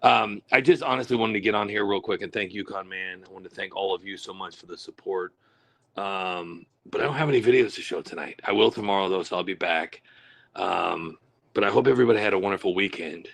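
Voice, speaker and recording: A man with a lisp, chatting on a live stream from outdoors in the woods in a halting, rambling way full of fillers. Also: sits low, gravelly, a bit bright. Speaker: livestream host. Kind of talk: casual live-stream chat.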